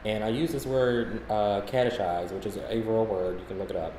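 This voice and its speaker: A man's voice: on the low side, fairly even intonation, normal speed, mellow. Guy telling stories on a podcast, chatty, roomy sound, bit of background noise. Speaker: podcast co-host. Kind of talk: casual chat, anecdotal. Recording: echoey room, faint background noise.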